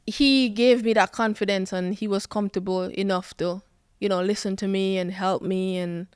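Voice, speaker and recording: Jamaican woman guest, smooth clear voice. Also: mid-range voice, normal speed, no echo, studio quality. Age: 20s. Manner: animated storytelling, acts out quoted lines. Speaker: footballer guest. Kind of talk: podcast interview.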